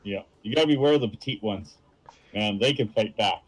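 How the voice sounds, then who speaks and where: A man's voice: on the low side, fairly quick, breathy edge, poor audio. A man making casual side comments as a companion on a game stream. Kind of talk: casual chat.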